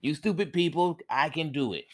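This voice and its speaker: A man's voice: fairly high pitch, moderate pace, voice pushed up, close to an everyday voice. A man voicing a boastful, foolish man from a folktale who turns whiny and pleading. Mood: angry.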